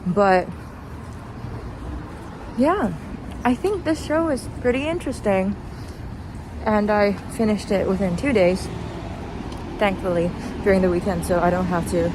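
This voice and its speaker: A young adult woman giving casual, rambling commentary as a vlogger, informal and hesitant, with trailing thoughts, recorded outdoors while walking. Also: mid-range voice. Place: outdoors, walking along a street. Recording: noisy outdoor recording with traffic ambience. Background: clear street and vehicle noise.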